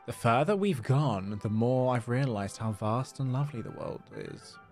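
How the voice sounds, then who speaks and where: A man livestreaming a game, excitable, casually commenting and reading dialogue aloud, with exclamations. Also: mid-range voice. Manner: excitable, reacts with gasps and drawn-out exclamations. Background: game music.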